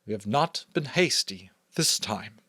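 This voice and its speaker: A man voicing a solemn, commanding female elder in a firm, deliberate, declamatory way for an audiobook. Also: on the low side, expressive, bright, forceful tone, no room sound, studio quality, heavily acted. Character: solemn commanding female chief elder addressing her community. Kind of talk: dramatic audiobook character voice.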